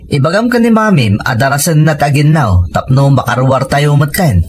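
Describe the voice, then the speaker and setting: An actor playing a caring, gentle husband and father in an Ilocano radio drama. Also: fairly high pitch, big pitch swings, normal speed, slight background noise. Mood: fond. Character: caring Filipino husband and father, plain-living.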